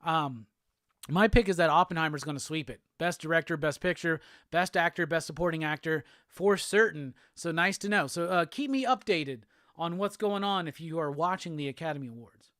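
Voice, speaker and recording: A man from Alabama with a Southern accent, hosting a casual live show and answering chat comments in a relaxed, rambling way. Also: on the high side, moderate pace, no room sound, clean studio sound. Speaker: host.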